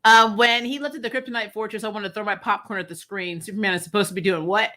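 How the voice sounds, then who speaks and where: A woman's voice: mid-range voice, fairly even intonation, fast talker, sharp tone. A woman hosting a casual film chat, talking in a blunt, sarcastic, slangy way. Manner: blunt, sarcastic, slangy, laughs through stories. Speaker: channel host reviewing films. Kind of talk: casual opinionated film discussion.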